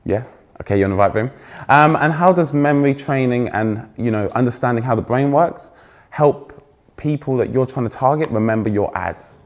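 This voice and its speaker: Young English male keynote speaker, fairly posh accent, loud, energetic, teases the crowd. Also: medium pitch, normal speed. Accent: trained-out Essex, now fairly posh English. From England. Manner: energetic, joking with the audience, self-deprecating. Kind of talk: conference keynote talk. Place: stage before a live audience.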